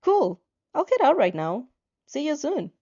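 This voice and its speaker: A woman's voice: high-pitched, very animated, measured pace, warm tone, dull audio, voice pushed up. A woman voicing a friendly, eager teenage girl in a story, chirpy and casual. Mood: cheerful.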